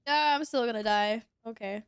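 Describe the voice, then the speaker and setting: Sniffly young woman streamer, casual chatter, smooth voice, dry close mic. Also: fairly high pitch, normal speed, dry recording. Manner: chatty, reacts to gameplay, reads chat aloud. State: sniffly, sneezing. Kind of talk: casual gaming stream chat.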